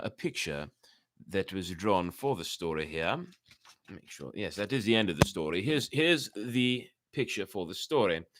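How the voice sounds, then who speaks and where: A man's voice: on the low side, a bit bright, no room sound, clean studio sound. A man hosting an online story time, reading tales and chatting to listeners in rambling asides. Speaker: host reading stories to a live online audience.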